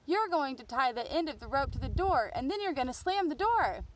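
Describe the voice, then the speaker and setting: A storybook little boy, acted out by a woman, loud and exasperated. The voice is very high and swoops around dramatically, with faint noise in the recording. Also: lively intonation, brisk. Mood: defiant.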